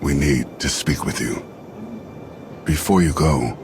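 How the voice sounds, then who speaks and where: An actor playing a solemn, repentant warrior in a video game, speaking formally. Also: male voice, a bass voice, slow, creaky, background noise, exaggerated voice. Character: solemn, very deep-voiced repentant male warrior. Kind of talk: video game cutscene acting.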